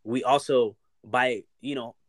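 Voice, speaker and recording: Man, mid pitch, rambling casual talk, dry close sound. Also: steady tone, measured pace, no echo. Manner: rambling, repeats "you know what I mean" and "like". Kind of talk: casual conversation.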